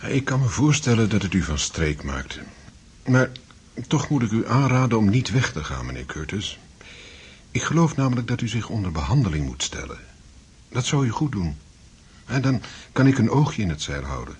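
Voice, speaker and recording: Radio drama doctor: low voice, calm, paternal, soothing, a touch condescending. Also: male voice, husky, full character voice. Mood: soothing, patronising. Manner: measured, soothing, patronising. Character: calm, paternal male doctor, smoothly persuasive. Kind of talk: Dutch radio drama acting.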